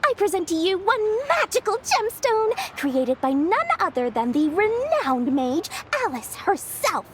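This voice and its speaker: Tiny bubbly game merchant, super high squeaky voice, unhurried showy sales pitch, music behind. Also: female voice, heavily acted. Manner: showy, salesy, sing-song.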